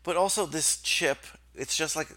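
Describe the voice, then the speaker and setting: A woman co-hosting a casual film podcast, animated and laughing often. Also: low-pitched, moderate pace. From Latin American heritage. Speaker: podcast co-host. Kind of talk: casual chat, film review.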